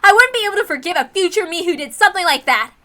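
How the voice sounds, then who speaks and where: High, defiant young heiress, acted by a woman. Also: animated, racing, pushed and bright, heavily acted. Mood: anguished resolve. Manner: blunt, slangy, emphatic.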